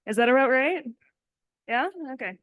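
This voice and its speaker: A woman's voice: high-pitched, animated, moderate pace, a bit bright, clean tone, no echo, clean studio sound. A woman speaking candidly and informally as a panelist, in a conversational style. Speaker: panelist, software developer. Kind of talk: conversational conference panel talk.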